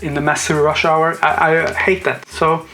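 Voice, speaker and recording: A man's voice: a little high, flat delivery, normal speed, sharp tone, soft background music. A man, talking eagerly in a rambling casual vlog, often correcting himself. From Norway. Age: 40s. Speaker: car vlogger.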